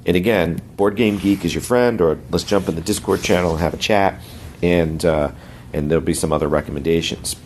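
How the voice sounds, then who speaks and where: A hoarse middle-aged man from the United States, rambling in a friendly way as a podcast regular and sharing tips. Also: sits low, steady tone, fairly quick, darkish tone, a little hiss or hum. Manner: rambling, friendly, self-correcting. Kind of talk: casual podcast segment.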